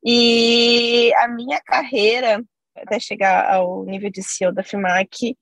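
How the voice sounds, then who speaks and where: Gravelly, husky woman in her thirties. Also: on the high side, level tone, slow, fairly bright tone, no room sound, low-quality recording. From Brazil. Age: 30s. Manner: chatty, many fillers and asides. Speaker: podcast guest, company CEO. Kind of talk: casual podcast interview storytelling.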